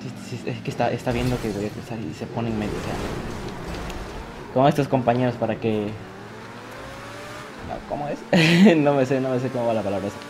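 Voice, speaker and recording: A man giving lively commentary over his own online game, laughing often and mocking himself when he fails. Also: medium pitch, brisk, pauses often. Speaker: gaming youtuber. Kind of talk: live gameplay commentary. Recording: game audio noise behind the voice.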